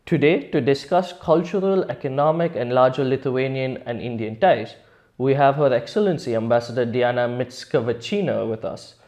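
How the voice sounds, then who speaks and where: A man's voice: medium pitch, normal speed, a little mellow. A man hosting a news interview, giving a formal introduction and asking slightly hesitant questions. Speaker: interviewer, host.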